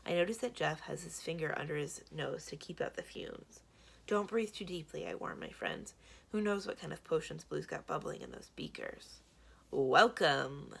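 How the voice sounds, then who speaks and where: A woman reading a children's book aloud as expressive scripted narration. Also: low voice, moderate pace, creaky, breathy edge. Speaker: library read-aloud reader.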